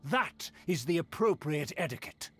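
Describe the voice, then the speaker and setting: An actor playing a pompous, easily offended aristocrat in a video game, speaking in formal, condescending phrases. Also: male voice, a little high, expressive, normal speed, natural voice. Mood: condescending, lecturing. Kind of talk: scripted video game character acting. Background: background music.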